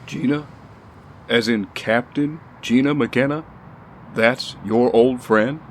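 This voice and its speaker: Guy acting a bodyguard in an audio drama, average pitch, cautious and kinda exasperated, light background noise. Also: slow, lightly acted. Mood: curious, cautious. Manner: casual, skeptical, grudging. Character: guarded, exasperated but loyal male bodyguard.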